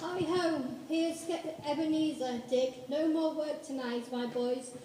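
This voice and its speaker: Woman doing a high-pitched jolly old-time boss. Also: unhurried. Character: cheerful male employer announcing festivities. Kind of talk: amateur radio play acting. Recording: distant, noisy, echoey room.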